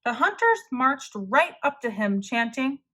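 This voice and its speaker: A woman reading a children's story aloud as narrator, expressive and deliberate. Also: high voice.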